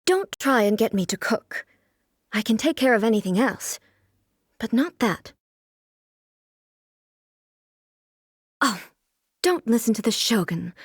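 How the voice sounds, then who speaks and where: An actress voicing a solemn, aloof immortal shogun and swordswoman in a video game, with formal, measured, stately delivery. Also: on the high side, sing-song, slightly rough, no echo, studio quality. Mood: light, stubborn. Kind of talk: video game character voice lines.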